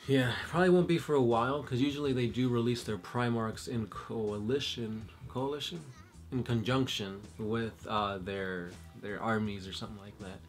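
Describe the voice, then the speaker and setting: A man's voice: medium pitch, fairly even intonation, normal speed, faint music behind. A man co-hosting a casual chat and reading viewer questions, easygoing and thinking aloud with uhs.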